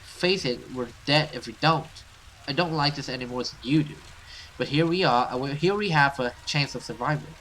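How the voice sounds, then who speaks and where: A man's voice: mid-range voice, moderate pace, a bit bright, slight background noise, lightly acted, low-quality recording. A man voicing an urgent, resolute horror game companion in an insistent, dramatic way.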